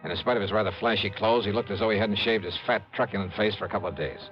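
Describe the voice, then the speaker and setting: A man's voice: on the low side, very fast, soft background music, natural voice. An American man playing a wry, hard-boiled insurance investigator in an old radio detective drama, talking in a dry, clipped, colloquial way. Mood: wry narration. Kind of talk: old-time radio detective acting and first-person narration. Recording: muffled old radio recording.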